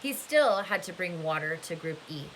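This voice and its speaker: A woman narrating a novel aloud in an expressive storybook style, with a faint hiss in the recording. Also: on the low side, normal speed, poor audio.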